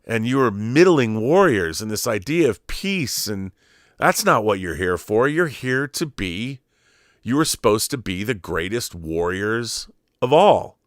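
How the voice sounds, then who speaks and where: A man's voice: lowish voice, sing-song, unhurried, no echo, clean studio sound. A man voicing a mocking, domineering alien judge in a retold TV episode, declaiming emphatically. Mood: scornful, amused. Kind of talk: acted retelling of a TV episode.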